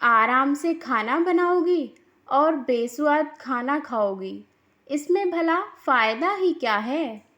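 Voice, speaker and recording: A woman voicing a reproachful, sharp-tongued older mother-in-law who scolds and taunts in a cartoon moral story. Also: sits high, lively intonation, takes it slowly, dull audio, full character voice. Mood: taunting.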